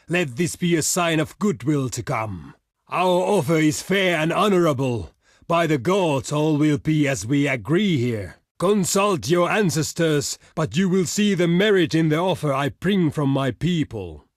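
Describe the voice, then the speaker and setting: An actor playing a proud, stern barbarian envoy in a video game, speaking in a solemn, formal and declamatory way. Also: male voice, fairly high pitch, no room sound, clean studio sound. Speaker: diplomat character in a strategy game. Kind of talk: theatrical video game voice lines.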